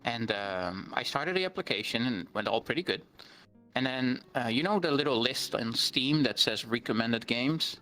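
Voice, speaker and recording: A man whose first language is Dutch speaks in a bright, forward voice. He explains things earnestly and plainly, stopping often for ums. Faint music plays behind him. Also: mid-range voice, lively intonation, normal speed, poor audio. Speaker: guest streamer. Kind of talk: interview conversation.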